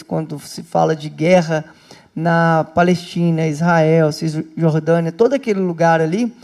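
Mellow-voiced pastor chatting to his flock. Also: sits high. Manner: lively, chatty, addresses listeners as brothers and sisters. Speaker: pastor and host. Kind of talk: casual talk to a small church group. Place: indoor home church group meeting.

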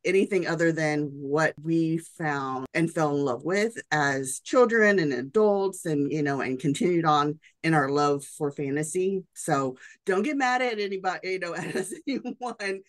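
A woman co-hosting a podcast, a little hoarse, animated and talkative. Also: low voice, normal speed, hardly any pauses, no room sound. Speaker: podcast co-host. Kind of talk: casual podcast chat.

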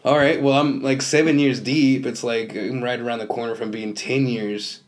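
Man, twenties, average pitch, casual conversation, informal, sweary, podcast host. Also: steady tone, normal speed. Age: 20s. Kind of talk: casual chat.

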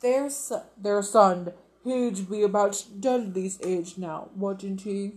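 A stuffy middle-aged husband, as a woman reads him aloud, talks in a slow, hesitant mumble. He keeps stalling and trailing off, as if afraid to say too much. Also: mid-range voice, a little mellow, pitched below the performer's own voice, close to an everyday voice. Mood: nervous.

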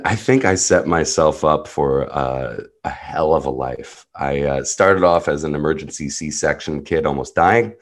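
A man, a native Hebrew speaker who grew up in the US, telling his life story as a podcast guest in an earnest, reflective and blunt way. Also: rumbling low. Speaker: podcast guest, personal guide. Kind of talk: candid personal storytelling.

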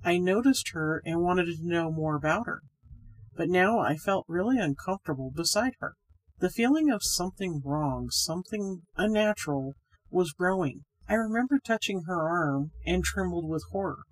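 A woman's voice: fairly low pitch, expressive, normal speed, rough recording. A woman reading a scripted ghost story aloud as the narrator. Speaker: story reader, podcast host.

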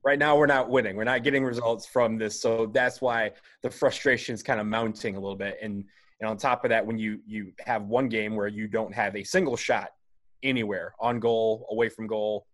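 A man's voice: lowish voice, fairly even intonation, moderate pace, fairly bright tone. A man talking as a podcast guest over a video call, earnest and rambling, often restarting his sentences. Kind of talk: casual chat.

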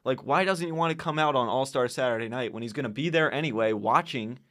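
A young man hosting a casual sports podcast, introducing segments in a measured way, with a touch of sarcasm. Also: medium pitch, fairly bright tone. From Arizona. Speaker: podcast host.

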